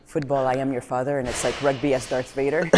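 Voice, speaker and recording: A woman from Colombia, a former rugby player, chatting casually during a gym workout, telling stories with lots of laughter. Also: very deep voice. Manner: animated storytelling, laughs often. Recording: echoey gym with long reverb.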